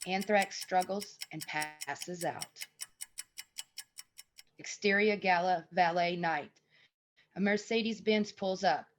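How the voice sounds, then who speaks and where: A woman's voice: on the low side, takes it slowly, long pauses, faint music behind. A woman reading scripted screenplay narration and stage directions.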